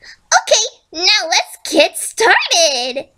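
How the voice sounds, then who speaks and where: A woman's voice: extremely high pitch, wildly swooping pitch, drawn-out words, bright, forceful tone, pitched above the performer's own voice, exaggerated voice. A young-sounding woman voicing a manic, cheerfully sinister pink pony villain in a storybook reading, chatting with menacing casualness. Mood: gleefully menacing. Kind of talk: amateur storybook fan fiction reading.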